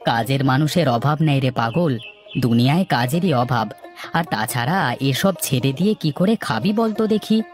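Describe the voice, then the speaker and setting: A man's voice: medium pitch, animated, moderate pace, close to an everyday voice. A man voicing a hardworking, affectionate village husband in a cartoon, with a homely, conciliatory manner. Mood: placating. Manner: homely, good-natured, conciliatory. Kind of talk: cartoon dialogue acting. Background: background music.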